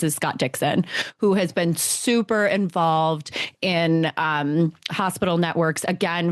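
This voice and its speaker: A woman's voice: deep voice, dry recording, clean studio sound. A woman co-hosting a casual motorsport podcast, speaking in an earnest, enthusiastic way. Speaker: podcast co-host.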